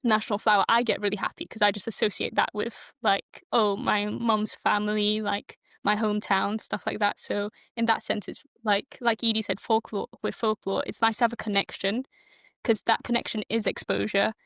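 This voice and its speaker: A woman's voice: mid-range voice, level tone, normal speed, vocal fry, fairly bright tone, no room sound, clean studio sound. A young woman from Malaysia who moved to the UK as a child, speaking as a podcast guest in a casual, reflective, loosely structured way. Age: young adult. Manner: informal, loosely structured, thinking aloud.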